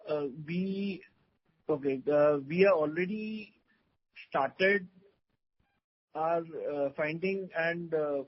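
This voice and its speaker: Male executive, slightly high and rough-edged voice, deliberate halting answers on an earnings call. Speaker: company executive. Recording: dull lo-fi conference call audio.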